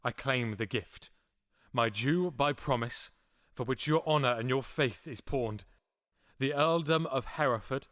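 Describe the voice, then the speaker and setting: An actor playing a proud, calculating duke in a Shakespearean audio drama, who speaks in measured, formal pleas. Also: male voice, medium pitch, a bit bright, no room sound, clean studio sound, natural voice.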